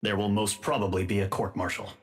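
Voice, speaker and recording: A man playing a stern air force commander giving a formal, measured briefing in a game. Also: lowish voice, flat delivery, close to an everyday voice. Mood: grave. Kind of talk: scripted military briefing. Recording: music behind the voice.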